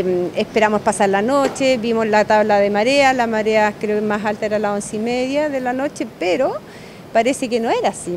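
A Chilean woman in her forties, a hotel manager speaking in a news interview, halting and emotional, often restarting her words. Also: mid-range voice, darkish tone, slight background noise. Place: inside a wooden restaurant by the sea. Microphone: handheld microphone held close.

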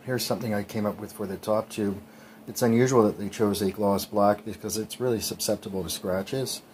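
A man's voice: fairly low pitch, steady tone, fairly quick, a little mellow, slight background noise. A man in his forties casually narrating his bike build over clips, chatty with frequent ums. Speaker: cycling vlogger.

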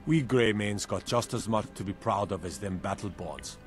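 A gruff Nord smith from a fantasy game, voiced by a male actor, speaks with pride and plain words. His pitch sits fairly low, with soft music underneath. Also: expressive, moderate pace, lightly acted. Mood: proud.

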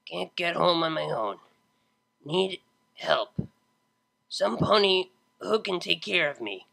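A woman voicing a drunk, laid-back young female unicorn DJ who drawls slow, slurred slang. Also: lowish voice, lively intonation, creaky, fairly bright tone, heavily acted.

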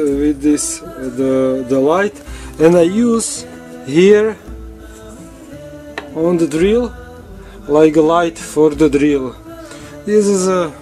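A man who is not a native English speaker walks through his drill press in a home woodshop, in halting English full of fillers and restarts. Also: a little high, dark tone. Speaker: woodworking hobbyist showing his drill press. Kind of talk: casual workshop walkthrough.

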